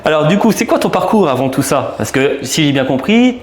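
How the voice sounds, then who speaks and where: A man's voice: a little high, big pitch swings, brisk. A man hosting a video channel who introduces the episode and interviews guests in a friendly, lightly teasing way. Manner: upbeat and friendly, casual teasing questions. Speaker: YouTube channel host and interviewer. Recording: roomy room with faint noise.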